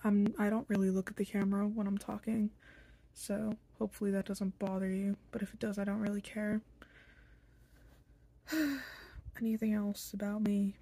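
Young woman, flat husky voice, blunt and irritable, many ums, close phone mic, small bedroom. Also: mid-range voice, dry recording. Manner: blunt, irritable, slow and halting with many fillers. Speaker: vlogger introducing themselves. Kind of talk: casual self-introduction to camera.

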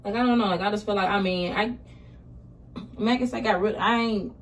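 A woman's voice: medium pitch, fairly quick. Young American woman vlogger, a bit far off. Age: 20s. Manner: slangy, conversational. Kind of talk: casual chat while getting ready. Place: small indoor room. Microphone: camera a short distance away. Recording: roomy distant camera mic, faint noise.

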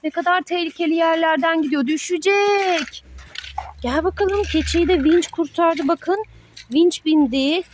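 A woman's voice: extremely high pitch, unhurried, a little hiss or hum. A woman, a mother playing toys with her child, narrating the pretend play in a singsong way with sound effects and pet names.

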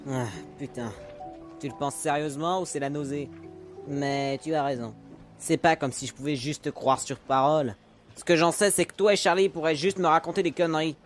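Man voicing a sassy, higher-pitched demon ex-mobster. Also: steady tone, normal speed, faint music behind, natural voice. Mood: confiding. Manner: sassy, slangy. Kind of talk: French fan comic dub acting.

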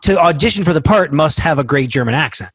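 A man's voice: fairly high pitch, lively intonation, fast, fairly bright tone, slightly rough, no echo. A middle-aged man hosting a podcast, asking eager, curious questions over a video call. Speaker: podcast host. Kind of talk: casual interview. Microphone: studio mic with headphones, video call.

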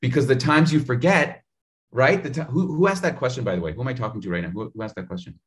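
Energetic man coaching on a video call. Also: mid-range voice, fast talker. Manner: animated, gestures a lot, talks straight to the viewer. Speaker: public speaking coach. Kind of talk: energetic advice in an interview. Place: home room on a video call. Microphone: studio mic in frame on a video call.

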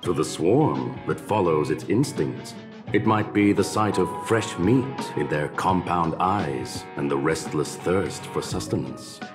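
A man playing a courteous, scholarly mechanical gentleman in a video game, speaking in a formal, measured and philosophical way. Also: sits low, expressive, over music. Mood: reflective, courteous. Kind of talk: scripted game dialogue.

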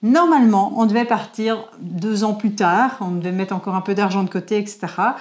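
Lively Belgian woman in casual chat. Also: medium pitch, brisk. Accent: Belgian French. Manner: lively, laughs easily, restarts words. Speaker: vlog co-host. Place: covered porch beside a house.

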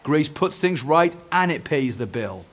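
A man's voice: on the high side, big pitch swings, a little slow, voice pushed up. Man playing a kind, wise British dad. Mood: gently teaching. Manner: reassuring, instructive. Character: kind, wise, forgiving father.

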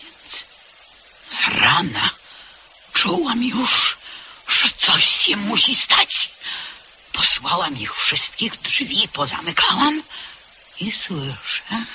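A woman's voice: mid-range voice, wildly swooping pitch, extremely slow, pauses often, pushed and bright, a little hiss or hum, full character voice. An actress playing a frightened maidservant in a radio drama, telling her story in whispers that break into cries. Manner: breathless, swinging between whispers and cries. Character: frightened maidservant recounting a night of horror. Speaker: house servant. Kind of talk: 1970s-style radio drama acting.

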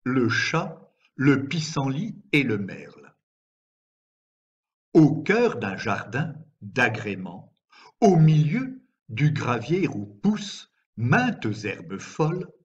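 A man reciting poetry in a measured, deliberate way, with frequent pauses between phrases. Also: mid-range voice, husky. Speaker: poet reading own work. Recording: slightly dull, lo-fi recording.

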